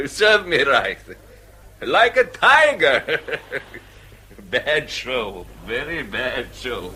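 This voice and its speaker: An actor playing a hearty, tipsy, middle-aged British knight with a bluff, condescending manner in a radio drama. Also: male voice, mid-range voice, very animated, extremely slow, pauses often, sharp tone, a little hiss or hum, full character voice. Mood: sheepish, amused. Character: jovial, tipsy, teasing wealthy knight at a party. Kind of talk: stage-style radio drama acting.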